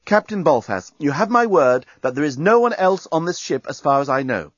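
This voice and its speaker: A man's voice: a little high, sing-song, fairly quick, no room sound, highs cut off, voice pushed up. A man voicing a friendly, cool-headed young woman, polite and composed, in a comic audiobook. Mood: cool, urgent.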